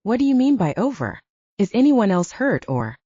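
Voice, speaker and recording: A woman's voice: medium pitch, sing-song, moderate pace, mellow, husky, no echo. A woman voicing a flustered young city woman. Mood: worried. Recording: dull lo-fi dry sound.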